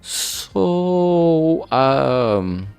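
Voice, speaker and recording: A man talking casually and haltingly in a rambling vlog, informal and self-deprecating, with some swearing. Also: fairly high pitch, big pitch swings, creaky, darkish tone, smooth. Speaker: indie visual novel creator and vlog host. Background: background music.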